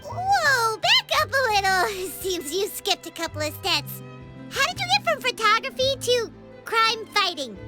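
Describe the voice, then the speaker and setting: A woman voicing a small, excitable, skeptical fairy companion in a video game, with a squeaky childlike voice and an incredulous, exclamatory manner. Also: unhurried, loud music behind the voice, exaggerated voice. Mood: doubtful.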